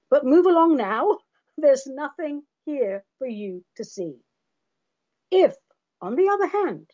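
A woman's voice: fairly high pitch, a little mellow, muffled recording. A woman from London with a London accent, hosting a podcast in a theatrical, sardonic monologue that calls her listeners darlings. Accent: London English. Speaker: podcast host.

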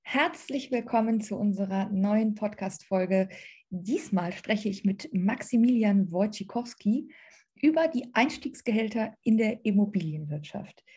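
A woman's voice: mid-range voice, unhurried, darkish tone, husky. A woman in her mid-thirties hosting a podcast and interviewing in a conversational way. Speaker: podcast host.

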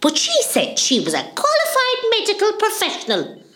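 A woman's voice: very high-pitched, huge pitch swings, moderate pace, bright, forceful tone, roomy sound, full character voice. A woman playing a cheeky, bawdy older mother in hospital in an amateur radio comedy, with a West Cork Irish accent and a theatrical, gossipy manner. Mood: gossipy, mischievous.

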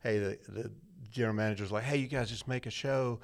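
Low-voiced middle-aged man telling stories. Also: steady tone, quick pace. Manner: rambling, chatty, self-deprecating. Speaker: church media director, podcast guest. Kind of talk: casual interview storytelling.

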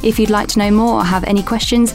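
A woman's voice: mid-range voice, steady tone, fast, faint music behind. A woman hosting a university podcast, reading a scripted welcome and then chatting with a guest in an upbeat, encouraging way. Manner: upbeat and encouraging, reacting with enthusiasm. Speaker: podcast host. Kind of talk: scripted introduction then casual interview.

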